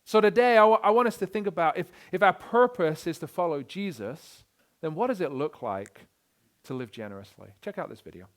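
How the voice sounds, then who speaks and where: A man preaching a sermon as pastor, energetic and given to repeating his words as he starts sentences. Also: high voice, brisk.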